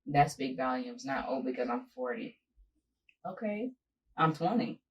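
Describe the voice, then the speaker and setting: A woman's voice: rumbling low, lively intonation, unhurried, muffled recording. A young woman speaking as a daughter in a casual family chat, giving emphatic, motivational advice. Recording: distant mic with faint noise.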